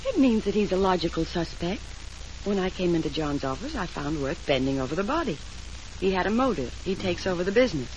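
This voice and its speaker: An actress playing a scheming young widow, self-assured, teasing and cold, in 1940s radio melodrama style. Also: female voice, on the low side, very animated, a little fast, a little mellow. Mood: calculating, threatening. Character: cool, scheming young widow, coquettish and menacing. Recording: noisy old radio transcription.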